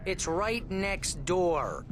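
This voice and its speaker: An actor playing a whiny, wisecracking best-friend sidekick in a video game, melodramatic and always complaining. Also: male voice, high-pitched, sing-song, extremely slow, crowd noise, full character voice. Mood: exasperated.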